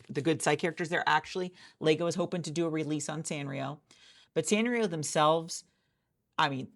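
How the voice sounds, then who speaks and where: A woman doing a soft-spoken ASMR roleplay as a hiring manager, chatty and rambling with many restarts, recorded in a friend's office. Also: deep voice, vocal fry.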